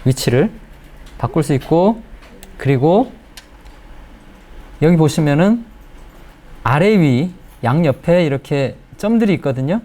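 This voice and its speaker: A man, giving a step-by-step software tutorial and repeating phrases as he explains. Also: on the high side, expressive, measured pace, pauses often. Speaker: video editing instructor. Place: indoor lecture room in front of a large screen. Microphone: lapel mic.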